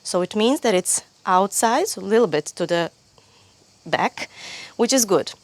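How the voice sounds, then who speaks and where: A woman's voice: medium pitch, lively intonation, measured pace, no echo. A young woman from Croatia with a Croatian accent, teaching a yoga pose step by step outdoors on a lapel mic, patient and careful, often restarting phrases. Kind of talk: yoga tutorial instruction. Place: sunny outdoor stone terrace. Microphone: clip-on lapel mic.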